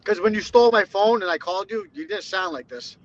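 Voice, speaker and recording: A man chatting casually who asks quick, probing questions. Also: sits high, animated, lo-fi sound. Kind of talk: casual chat.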